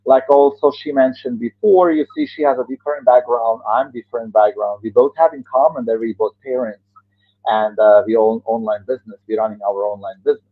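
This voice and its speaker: A man's voice: mid-range voice, no room sound. A man with a Croatian accent hosting an interview over a video call, hesitant with many fillers and non-native grammar. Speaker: interview host. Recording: tinny phone-like line, dry.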